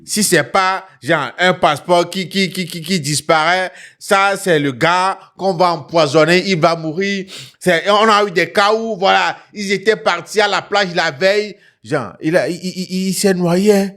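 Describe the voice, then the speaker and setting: A high-pitched male voice with a West African French accent. He hosts an online show as an animated storyteller, talking straight to viewers. He hammers his points by repeating phrases and tossing in little questioning tags. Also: a little slow. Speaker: host of an online talk show.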